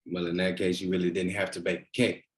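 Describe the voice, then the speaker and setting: A man's voice: low-pitched, flat delivery, fast, lightly acted. A man reading a teasing, devoted boyfriend in a naturalistic drama table read, conversational and a little hesitant. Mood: teasing, affectionate. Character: teasing, devoted boyfriend, nervous about his grandmother. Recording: virtual meeting recording.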